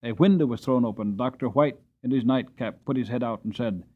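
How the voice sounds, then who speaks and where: A man giving an expressive, dramatic reading of a classic storybook as narrator. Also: mid-range voice, fairly quick, lo-fi sound. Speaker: audiobook reader.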